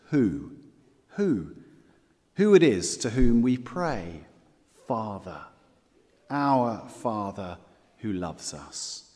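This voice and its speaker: A man preaching a sermon as pastor, earnest and measured, repeating key phrases and slowing down for scripture quotes. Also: lowish voice, sing-song, a little mellow, in a large hall.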